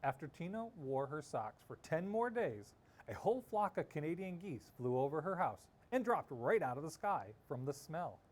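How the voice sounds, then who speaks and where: A man reading a children's story aloud expressively, with theatrical delivery and dramatic pauses. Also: a little high, sing-song. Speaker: storybook reader.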